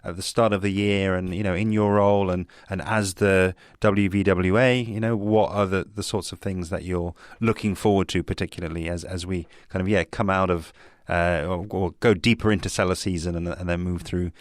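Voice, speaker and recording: A man's voice: low voice, steady tone, moderate pace, no echo, clean studio sound. A man hosting an interview, putting questions casually in hesitant, meandering phrases. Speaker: podcast host, interviewer.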